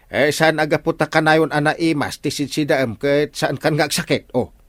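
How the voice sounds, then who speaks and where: An actor with an Ilocano accent playing a bumbling, boastful, cranky village captain in a radio comedy, blustering through many interjections. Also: male voice, on the high side, fairly even intonation, moderate pace, fairly bright tone, natural voice. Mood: grumbling. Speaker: comic radio drama actor.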